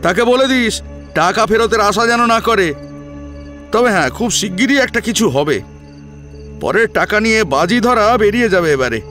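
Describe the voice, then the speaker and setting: High-pitched, scornful young gossip. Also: male voice, moderate pace, faint music behind. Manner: excitable, disapproving, colloquial. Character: young man, gossipy scornful friend of the hero.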